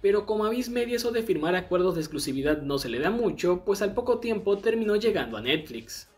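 A man's voice: sits high, big pitch swings, fast, a little mellow. A man narrating a scripted explainer in a conversational, explanatory way. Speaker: video essay narrator. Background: background music.

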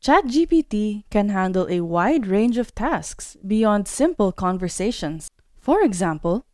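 Lively woman narrating a tutorial. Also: mid-range voice, no echo, clean studio sound. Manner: clear, step-by-step explaining. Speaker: course instructor. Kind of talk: scripted tutorial narration over a screen recording.

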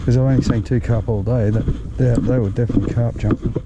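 A man's voice: mid-range voice, steady tone, fast talker. A middle-aged Australian man giving casual running commentary to himself as he fishes along a lakeshore, recorded on a head-mounted camera. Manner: chatty, talking to himself while fishing. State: sweating from walking. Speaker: fishing vlogger. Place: outdoors on a grassy lakeshore. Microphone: head-mounted action camera. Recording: outdoor wind and ambient noise.

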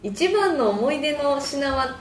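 A woman's voice: sits high, animated, slow, roomy sound, a little hiss or hum. A woman hosting a radio talk show, attentive and reactive, introducing listener questions. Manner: attentive, lots of listening murmurs, bright reactions. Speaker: radio host.

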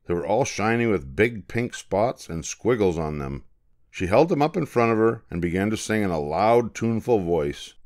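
Low-voiced older man reading a storybook. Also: animated, normal speed, darkish tone. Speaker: storybook narrator.

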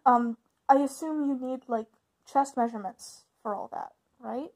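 A woman's voice: high-pitched, normal speed, a little mellow. A woman acting as a caring, informal big sister and young witch, hesitant and reassuring, in a conversational ASMR roleplay. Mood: supportive.

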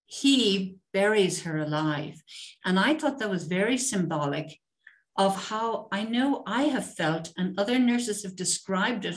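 A woman in her sixties, a nurse, speaking reflectively in a panel discussion on a video call. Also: medium pitch. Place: room at home. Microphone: computer mic on a video call.

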